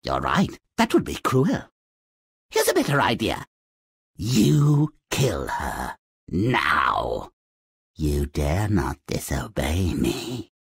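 An actor voicing a vain, cruel, haughty evil queen with a husky voice in a fairy-tale video game. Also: rumbling low, huge pitch swings, slow, dry recording, studio quality, heavily acted. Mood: cold, commanding. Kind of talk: video game character acting.

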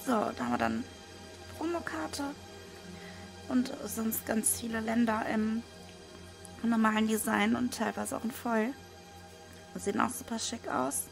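A hoarse woman talks through an unboxing at her desk, her voice rough and gravelly. She sits a little higher than most women, with soft music faint underneath. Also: measured pace, pauses often. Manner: relaxed, with frequent hesitation fillers. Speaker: card unboxing video host. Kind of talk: casual unboxing commentary. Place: indoors at a desk, hands over table. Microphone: small camera-mounted microphone.

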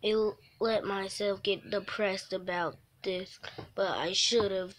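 A woman livestreamer reading a visual novel aloud, haltingly, breaking off for casual offhand asides. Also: mid-range voice, fairly even intonation. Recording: noisy mobile stream with clicks.

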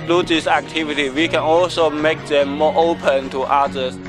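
A man with a slightly raised voice speaks earnestly in simple English. Music plays clearly behind him. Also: fairly high pitch, level tone, normal speed. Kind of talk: interview answers.

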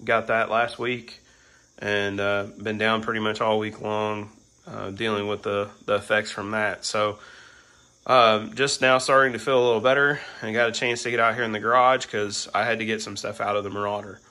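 A man with a hoarse, bright, forceful voice and a fairly low pitch talks through a casual garage update. He rambles loosely, with plenty of "um" and "you know". He is filmed on a handheld phone in a large home garage, with crickets chirping faintly behind him. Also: moderate pace. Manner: relaxed, filler-heavy rambling with frequent "um" and "you know". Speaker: garage channel host.

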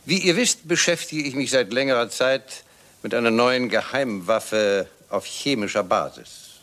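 An actor playing a stern, commanding old English lord in a German radio crime play, speaking deliberately and with authority. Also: male voice, medium pitch, a bit bright, close to an everyday voice. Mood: grave, controlled. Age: older.

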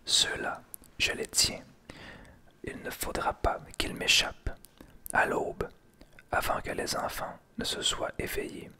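A man softly whispering the lines of a cruel old child-eating witch in a storybook tale. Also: takes it slowly, long pauses. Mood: gloating. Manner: whispered throughout.

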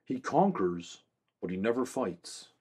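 A man reading aloud, voicing a calm, wise old magician with a husky voice. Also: lowish voice, a little slow, exaggerated voice. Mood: solemn.

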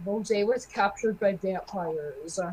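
A woman acting student characters in an amateur game roleplay, halting and repeating phrases. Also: medium pitch, darkish tone, husky, poor audio.

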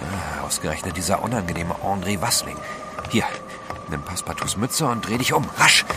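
An actor playing a courteous, composed gentleman adventurer with a wry streak in an audio drama. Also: sits low, animated, normal speed. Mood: covering up. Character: gentleman adventurer, courteous, protective husband or lover. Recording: clear ambient noise behind.

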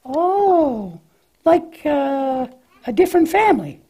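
A woman's voice: high voice, big pitch swings, slow pace, warm tone, full character voice. A woman voicing a cheerful, naive young lamb in a children's church puppet show, hesitant and questioning in a childlike way. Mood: curious.

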